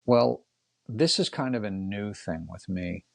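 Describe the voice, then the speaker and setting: An older man with a gravelly, husky voice, telling personal stories casually as a guest, in a reflective way with pauses between short phrases. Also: lowish voice, lively intonation, dry recording. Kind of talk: casual storytelling in conversation.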